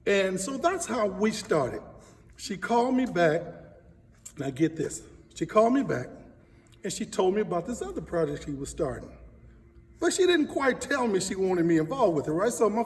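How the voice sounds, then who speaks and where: A man who directs stage plays, telling personal stories in an interview in an earnest, animated way. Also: sits high, moderate pace. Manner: earnest, animated storytelling with acted-out quotes. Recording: echoey hall, distant microphone.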